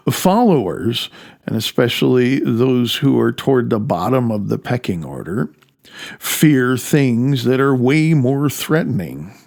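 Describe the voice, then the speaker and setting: A man's voice: medium pitch, expressive, a little slow, vocal fry, darkish tone. A man narrating his own audiobook as its author, in a conversational way with wry, sardonic asides.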